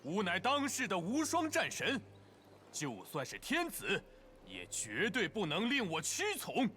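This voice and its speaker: An actor playing a proud, defiant warlord in a video game, speaking in a boastful, declamatory way. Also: male voice, high-pitched, huge pitch swings, unhurried, pushed and bright, slight background noise, full character voice.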